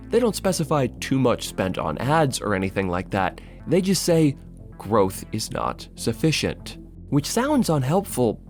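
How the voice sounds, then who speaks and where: A man delivers scripted video essay narration as if chatting with the viewer. His intonation is lively and wide-ranging, with sardonic emphasis and casual asides. Soft music plays behind his mid-pitched voice.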